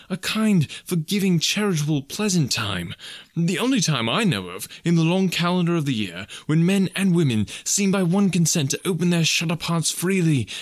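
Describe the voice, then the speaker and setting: A cheerful young gentleman from a storybook, voiced by a male reader, pitched high and swooping. He is warm-hearted and earnest, gaily pleading his case. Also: no room sound, studio quality.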